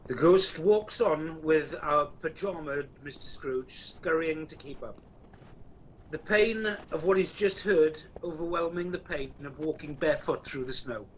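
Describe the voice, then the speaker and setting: A man's voice: fairly high pitch, a little slow, close to an everyday voice. A man acting as the storytelling narrator of an amateur Christmas radio play, reading a script. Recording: noisy home video-call recording.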